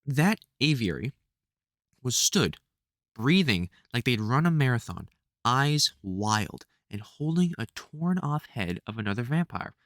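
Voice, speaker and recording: Man acting as veteran vampire hunter, British accent, mid-pitched, gruffly caring, halting, sweary, audio roleplay, dry recording. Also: sing-song, no echo. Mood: bewildered, exasperated. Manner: halting, sweary, exasperated but gentle. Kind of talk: audio roleplay, speaking to an unheard listener.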